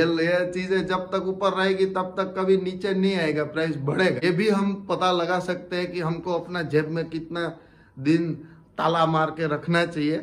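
Young man, slightly high voice, chatty and repetitive as he explains point by point. He talks close to a phone camera in a home living room, like a relaxed family vlogger. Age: young adult. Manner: chatty, explains step by step with repeats.

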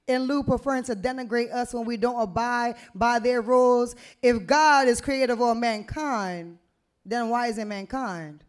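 A young woman recites spoken word poetry at an open mic. Her voice sits high for a woman, and she shapes each phrase deliberately, leaning on key words with a rhythmic emphasis. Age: young adult. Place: small indoor venue stage with brick wall. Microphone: handheld-type vocal mic on a stand, close to the mouth.

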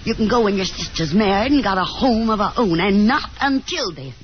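A woman's voice: mid-range voice, expressive, brisk, natural voice. An actress playing a fretful, nagging middle-aged Southern mother, fussy and insistent, in 1950s radio drama style. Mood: anxious, reproachful. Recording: dull, noisy 1950s radio broadcast.

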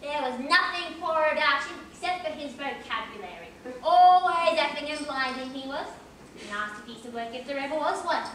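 A woman's voice: sits high, expressive, normal speed, a bit bright. A woman playing a chatty, dotty old grandmother with theatrical, rambling delivery in an amateur stage play. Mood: indignant. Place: large theatre stage, indoors. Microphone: far camera. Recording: echoey theatre from far off, noisy.

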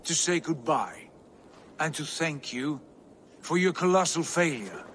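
A zealous, haughty Viking prince for a video game. His voice sits a little high and cuts sharply, delivered as grand formal oratory. A faint noise lies behind it. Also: lively intonation, unhurried, a bit bright. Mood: cold, scornful. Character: proud, fervent Viking prince obsessed with glory, scornful of his father. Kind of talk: dramatic video game acting.

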